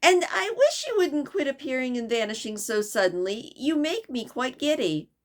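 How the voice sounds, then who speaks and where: A woman's voice: high-pitched, very animated, normal speed, pitched above the performer's own voice. A woman voicing a polite, curious young girl, speaking in a raised, earnest, slightly prim tone in a storybook reading. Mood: indignant wonder. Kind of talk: storybook read-aloud.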